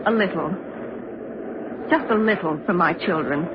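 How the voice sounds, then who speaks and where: A woman's voice: on the low side, measured pace, lightly acted. An actress playing an anxious, worn pioneer mother in an old radio drama, plaintive and pleading. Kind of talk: 1940s-50s radio drama acting. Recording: dull, noisy old radio recording.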